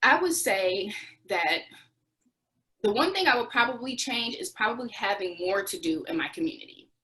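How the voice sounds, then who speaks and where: A woman's voice: medium pitch, animated, moderate pace, bright, forceful tone, low-quality recording. A young adult woman answering interview questions, recounting experiences as a former volunteer with restarts and occasional laughs.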